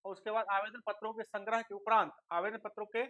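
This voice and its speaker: Bright-voiced man teaching. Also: high-pitched, flat delivery, quick pace, lo-fi sound. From India. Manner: asks rhetorical questions and answers them, checks understanding; mixes in English terms. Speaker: exam coaching teacher. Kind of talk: instructional explanation to students.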